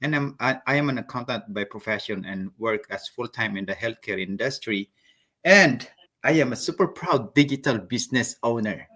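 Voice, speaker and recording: Filipino man, interview guest, mid-range pitch, relaxed and friendly delivery. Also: moderate pace. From Philippines. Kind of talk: casual interview.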